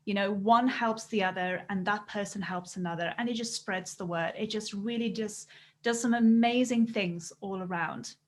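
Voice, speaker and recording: A woman's voice: mid-range voice, normal speed. A woman from South Africa whose first language is Afrikaans, speaking English with a South African accent as a business coach guest in an earnest, casual interview chat.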